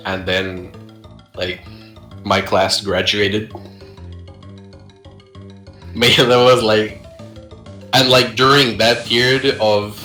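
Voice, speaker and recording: Casual young man with a bright voice. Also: lowish voice, reverberant. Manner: rambling, swearing, laughs often, shouts when reenacting. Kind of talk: casual gaming chat.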